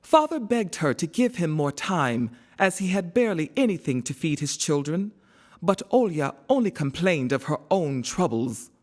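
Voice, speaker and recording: A woman narrating a scripted children's storybook in an expressive, measured storytelling manner. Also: deep voice.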